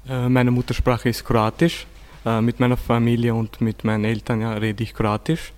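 A man's voice: mid-range voice, completely flat delivery, moderate pace, darkish tone. A teenage boy, answering hesitantly with many fillers. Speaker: student.

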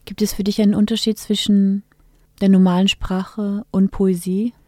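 A woman interviewing a poet, asking her questions in a measured, slightly hesitant way. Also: mid-range voice, level tone, a little mellow, no echo, clean studio sound.

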